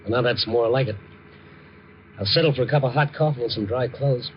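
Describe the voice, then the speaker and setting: A man's voice: medium pitch, brisk, a little hiss or hum, lightly acted. An American man acting in a 1950s radio drama as a tough, wry, kindly newspaperman who narrates his night story in an understated way. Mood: calm, reassuring. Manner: wry, hard-boiled, understated. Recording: dull, band-limited 1950 radio recording with music.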